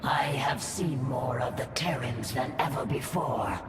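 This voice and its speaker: An actress playing an obedient, predatory alien broodmother in a video game, formal and deliberate. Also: very deep voice. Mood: cold musing. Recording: distant, echoey, music over voice.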